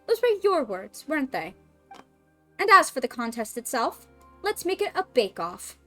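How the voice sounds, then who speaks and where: Woman voicing a brash rival chef, young woman, French accent, pushy, high voice. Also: big pitch swings, normal speed, a bit bright, faint music behind. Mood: challenging. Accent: French, put on.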